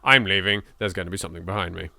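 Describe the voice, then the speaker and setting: Bright-voiced British man, theatrical horror game reader. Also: low voice, racing, creaky. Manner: theatrical, expressive, swearing. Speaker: video game let's player. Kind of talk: dramatic read-aloud of horror game text with asides.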